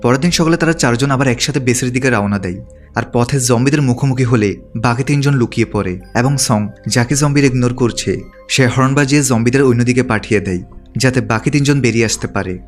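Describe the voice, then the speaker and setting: A man's voice: medium pitch, fast talker, faint music behind. A man reading a scripted story recap as a narrator.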